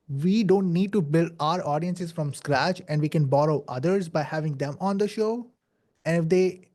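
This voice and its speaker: Pakistani-accented young man with a mellow voice. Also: fairly high pitch. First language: not English. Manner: earnest, many "like" fillers and restarts. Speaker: podcast guest. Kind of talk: casual interview storytelling.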